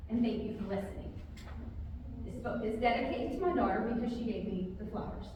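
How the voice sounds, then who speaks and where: A woman author speaking and reading a children's story aloud to a group, with expressive, drawn-out emphasis on key words. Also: mid-range voice. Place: large reverberant room, live community gathering. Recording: very echoey hall, distant, faint noise.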